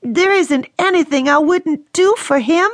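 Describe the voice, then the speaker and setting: A woman's voice: sits high, unhurried, dry recording, clean studio sound, pitched above the performer's own voice. A woman voicing a tearful young daughter pleading with her father, her voice tremulous.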